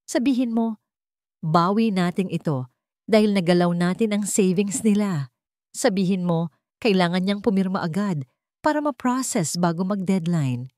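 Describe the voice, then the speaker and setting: A woman's voice: mid-range voice, lively intonation, normal speed, pauses often, a little mellow, no echo, lightly acted. Scheming older matriarch, played by a woman narrator, audiobook melodrama: commanding, contemptuous, emphatic, dry close sound.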